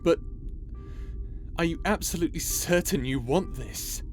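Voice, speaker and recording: A man's voice: fairly high pitch, a little slow, faint music behind, lightly acted. An actor playing an earnest, protective young space pirate captain in an audio roleplay, flustered and stammering as he speaks emphatically. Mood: alarmed, protective.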